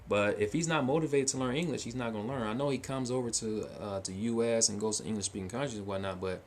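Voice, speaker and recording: A man's voice: medium pitch, fast, darkish tone, a little hiss or hum. A man telling a long, casual story to his viewers in a loose way, full of fillers and restarts. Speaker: vlogger answering viewer questions.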